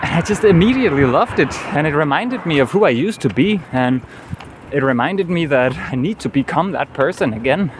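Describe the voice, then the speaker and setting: A man's voice: a little high, lively intonation, fairly quick. A man with a Danish accent, a native Danish speaker, talking earnestly and rambling in a casual travel vlog, often adding "you know". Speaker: travel vlogger. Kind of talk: casual walking vlog monologue. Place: outdoors on a city street. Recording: clear street traffic noise. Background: city traffic and vehicles.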